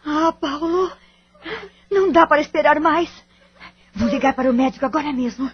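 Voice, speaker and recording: A woman's voice: high-pitched, moderate pace, lo-fi sound, natural voice. An actress playing a betrayed wife and worried mother in an audio drama, bitter yet protective. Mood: worried, motherly.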